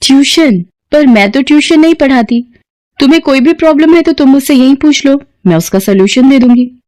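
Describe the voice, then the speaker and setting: A woman acts out a female professor in her thirties from an audio story. She questions and chides like a strict but caring teacher. Her voice is raised in pitch and recorded dry. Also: lively intonation, a little fast, warm tone, no room sound. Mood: surprised. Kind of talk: acted story dialogue.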